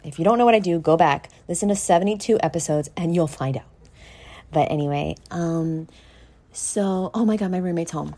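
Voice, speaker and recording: A woman with a somewhat low voice delivers a motivational podcast monologue. She is blunt and brash, swears freely and addresses the listener directly. Key words get stretched out for dramatic emphasis in an otherwise informal delivery. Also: moderate pace, mellow. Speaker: podcast host. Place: at home in a condo.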